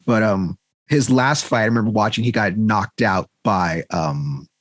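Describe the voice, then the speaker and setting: A man's voice: fairly low pitch, measured pace, no room sound. A man co-hosting a casual podcast, rambling through stories and laughing often. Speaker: podcast co-host. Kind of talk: casual chat.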